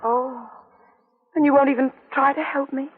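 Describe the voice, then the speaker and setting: A woman's voice: high voice, fairly even intonation, moderate pace, natural voice. An actress playing a frightened young woman with amnesia, anxious and pleading, in 1940s radio acting. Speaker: detective's client. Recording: tinny old radio broadcast.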